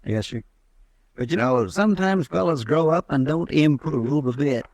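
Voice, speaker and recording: Homespun drawling deputy, 1950s radio style. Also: male voice, fairly high pitch, expressive, normal speed, creaky, darkish tone. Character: plain-spoken young deputy, earnest and homespun. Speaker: marshal's assistant. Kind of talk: 1950s radio drama acting.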